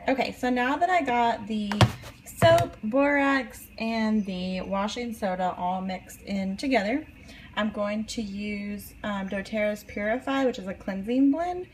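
A woman's voice: mid-range voice, unhurried, a little hiss or hum, low-quality recording. Chatty young woman filming in her kitchen. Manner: chatty, many ums, talking while working. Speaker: vlogger. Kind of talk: casual how-to demonstration. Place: home kitchen, indoors.

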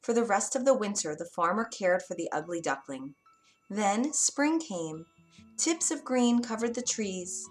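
A woman reading a scripted children's fairy tale in an expressive, deliberate storytelling manner. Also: mid-range voice. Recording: music behind the narration. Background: music.

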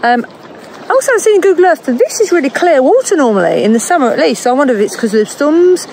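A woman, chatting to camera on a small sailboat, excitable and talkative. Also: sits high, quick pace. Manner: chatty, excitable, swears when thrilled. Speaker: sailing vlogger. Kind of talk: casual vlog chat to camera. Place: small sailboat and dinghy outdoors on the water. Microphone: handheld phone at arm's length. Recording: loud wind and water noise.

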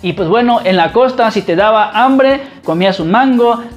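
A man from Mexico with a Mexican accent, chatting casually as a vlogger in an informal, halting, repetitive way. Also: high-pitched, animated, lo-fi sound, soft background music. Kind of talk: casual chat answering viewer questions.

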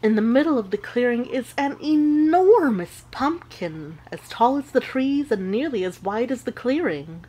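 A woman playing a witch who narrates an audio drama with measured, deliberate storytelling. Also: fairly high pitch, expressive, warm tone, slightly rough.

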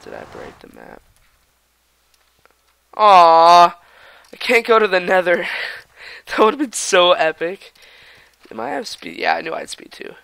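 A young man with a voice gone raspy from a cold, rambling casually while commenting on his own gameplay, sometimes muttering or whispering asides. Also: high voice, huge pitch swings, a little slow, long pauses, a bit bright, low-quality recording. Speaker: gaming channel host.

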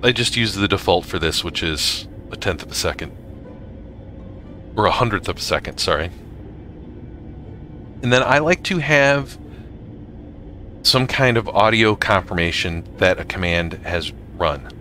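A man narrating a casual software tutorial for gamers in a halting way, often pausing mid-sentence. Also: sits low, lively intonation, a little hiss or hum. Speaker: gamer making a tutorial video.

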